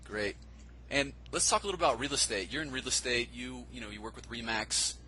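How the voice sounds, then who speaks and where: Brisk, bright-voiced podcast host man. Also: mid-range voice, slight background noise. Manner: upbeat, enthusiastic. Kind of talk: interview hosting.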